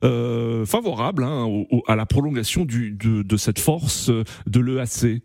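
A man hosting a radio call-in show, asking measured questions with pauses. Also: mid-range voice, animated, creaky, darkish tone, dry recording, studio quality. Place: radio studio.

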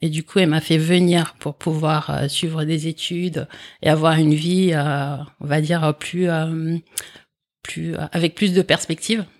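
A woman's voice: sits low, steady tone, a little mellow. Reflective woman in her forties. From Comoros. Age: 40s. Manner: reflective, speaks in halting phrases with pauses. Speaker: guest, company founder. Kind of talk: conversational interview.

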